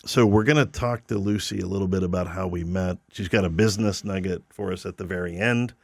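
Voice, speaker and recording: A man's voice: deep voice, fairly quick, gravelly, dry recording, studio quality. A man hosting a podcast, joking and teasing his guest with playful asides. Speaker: podcast host. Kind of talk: casual interview chat.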